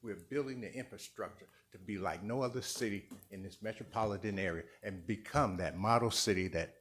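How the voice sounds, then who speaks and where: A man with a fairly low voice speaks at a council chamber podium, stumbling and restarting before pressing on with emphasis. His delivery is unscripted and earnest in tone of public comment. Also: a bit bright, slight background noise. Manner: halting, repeats words, rising emphasis.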